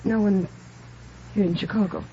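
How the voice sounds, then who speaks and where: An actress playing a frightened, secretive young woman in a 1950s radio drama, speaking haltingly and nervously. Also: mid-range voice, steady tone, dark tone, natural voice. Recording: muffled, noisy vintage radio recording.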